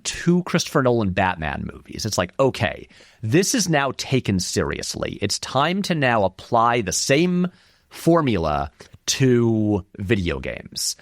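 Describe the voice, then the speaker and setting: Male interview guest, casual and discursive explainer; very lively pitch swings, mid-range voice, close dry sound. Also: unhurried, dry recording. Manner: animated, discursive explaining, frequent "like". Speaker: interview guest, filmmaker and video essayist. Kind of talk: casual film commentary in conversation.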